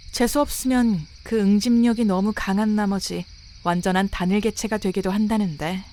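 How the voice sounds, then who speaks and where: A woman's voice: mid-range voice, warm tone, close to an everyday voice. A woman voicing a blunt, world-weary senior exorcist in a visual novel drama, explaining things in a casual, matter-of-fact way. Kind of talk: dramatic character dialogue, explanatory. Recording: noisy bed of rain and night insects.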